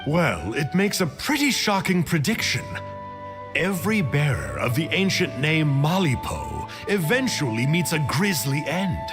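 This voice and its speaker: A man's voice: fairly high pitch, sing-song, a little slow, music in the background. A man voicing a bookish, sly sorcerer in a video game, with an erudite, smug and theatrical delivery. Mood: needling. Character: bookish, sly male Abyss mage, smugly scholarly. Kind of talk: video game character acting.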